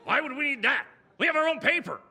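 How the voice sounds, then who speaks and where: Man acting a gruff comic-dub newspaper boss, brash, gravelly, animated swooping delivery, emphatic. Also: mid-range voice, moderate pace, heavily acted. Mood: brisk, bantering. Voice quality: raspy. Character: blustery, gruff, loud newspaper boss with gruff fondness. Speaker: voice actor.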